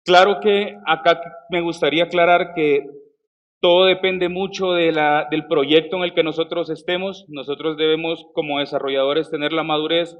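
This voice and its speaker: Man, Salvadoran accent, Colombian words, conference talk, fairly high voice, filler-heavy delivery. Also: fairly even intonation, moderate pace, highs cut off. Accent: Salvadoran Spanish with Colombian words. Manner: conversational, with frequent fillers and restarts. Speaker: conference speaker. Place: conference stage.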